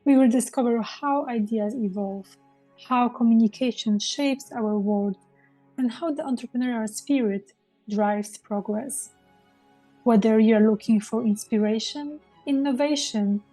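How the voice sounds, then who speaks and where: A woman hosting a podcast, reading a measured scripted intro and then asking questions. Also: a little high, warm tone, rough recording. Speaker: podcast host.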